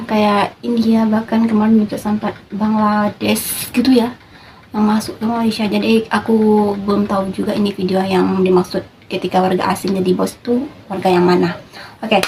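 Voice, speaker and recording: Quick-chatting woman reacting to clips. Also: medium pitch, darkish tone, slight background noise, rough recording. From Indonesia. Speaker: reaction video host. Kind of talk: casual reaction commentary.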